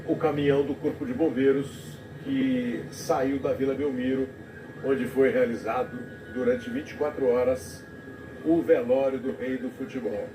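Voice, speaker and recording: A male TV commentator reflecting on a live broadcast, talking haltingly with many restarts. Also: mid-range voice. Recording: TV audio recorded off the air from a distance in an echoey room, noisy.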